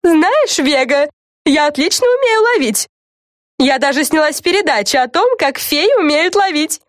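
A woman's voice: high-pitched, lively intonation, moderate pace, no room sound, clean studio sound, pitched above the performer's own voice. A woman voicing a tiny, vain, boastful girl fairy from a children's storybook, preening and self-important.

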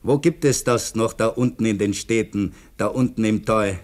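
A man's voice: lowish voice, moderate pace, darkish tone, lightly acted. Man playing eager older construction worker. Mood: earnest, hopeful. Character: ageing former professor working construction, eager and proud. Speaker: radio play actor. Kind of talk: radio drama acting.

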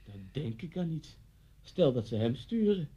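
An actor playing a taunting man in a radio drama, teasing and theatrical, relishing menace as he swings between quiet and loud. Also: on the high side, very animated, measured pace, husky, heavily acted. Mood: sly, goading. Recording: dull lo-fi radio play recording.

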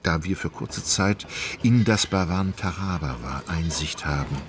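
A man narrating a literary radio drama in an ornate, measured storytelling manner. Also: low-pitched, noisy background.

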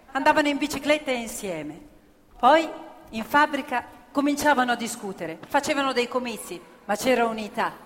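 A woman's voice: high-pitched, fairly bright tone. An actress playing a defiant working-class woman, recalling factory protests in an emphatic, plain-spoken radio play narration. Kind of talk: theatrical narration in a live radio play with music. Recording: long hall reverb, music underneath. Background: live musical instruments.

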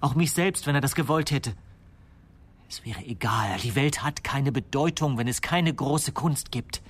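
An actor playing an obsessed, bitter idealist confessing to murder in a radio play, with an intense, fervent delivery. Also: male voice, medium pitch, unhurried, breathy edge. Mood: resigned conviction. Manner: intense, fervent, swinging from hushed to raised voice. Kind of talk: 1990s German radio play acting.

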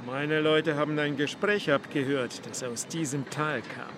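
A male actor as a menacing gang boss, fairly high for a man and coldly controlled. He lays out his terms deliberately in a radio crime drama, with clear outdoor noise around him. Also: close to an everyday voice. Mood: accusing.

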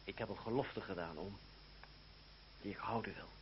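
An actor playing a defiant, principled young lawyer in a radio drama, speaking formally and with measured, stubborn politeness. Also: male voice, on the low side, natural voice. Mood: quietly resolute. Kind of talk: Dutch radio drama acting. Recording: muffled, noisy, humming old radio recording.

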